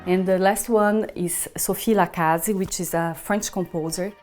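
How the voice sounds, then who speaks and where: Italian-Brazilian-accented woman conductor, low voice. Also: steady tone, measured pace, darkish tone, faint music behind. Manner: thoughtful, searching for words, hums rhythms. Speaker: orchestra conductor. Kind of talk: promotional interview talk.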